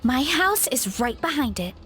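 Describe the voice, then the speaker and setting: A sweet modest game farm girl, cheery, pretty high voice, music under it. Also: sing-song, moderate pace, a bit bright. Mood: friendly, chatty. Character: cheerful, modest young orchard girl in athletic training. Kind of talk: video game character acting.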